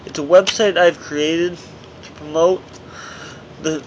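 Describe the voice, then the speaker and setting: Male author narrating own memoir, slightly high voice, earnest, amateur recording with light background noise. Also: fairly even intonation, slow pace, darkish tone, lo-fi sound. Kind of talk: scripted audiobook narration.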